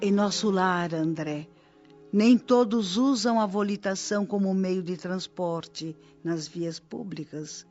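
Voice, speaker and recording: A woman playing a kindly, wise old spirit nurse in a radio drama, with a Brazilian accent and a gentle, instructive delivery. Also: medium pitch, slow, natural voice. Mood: wise, serene. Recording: dull lo-fi audio with music bed. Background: background music.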